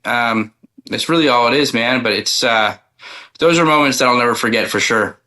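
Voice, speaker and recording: Male country songwriter guest, medium register, bright forward tone, rambling conversational storyteller. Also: mid-range voice, moderate pace. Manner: loose, rambling, informal. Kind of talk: casual interview chat telling personal stories.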